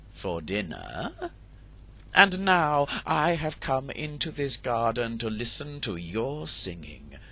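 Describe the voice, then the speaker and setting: A man voicing a sly male fox who coaxes and flatters in a slow, smooth way. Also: mid-range voice, pitched above the performer's own voice, exaggerated voice. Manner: coaxing, flattering.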